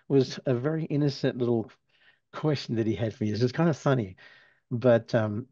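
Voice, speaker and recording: A man in his sixties from Australia, with an Australian accent worn toward American, relaxed and storytelling as an interview guest, with soft chuckles. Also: mid-range voice, no echo. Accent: Australian, softened toward American. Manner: relaxed, chuckles while telling stories. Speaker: physical therapy professor, guest. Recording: dull lo-fi sound.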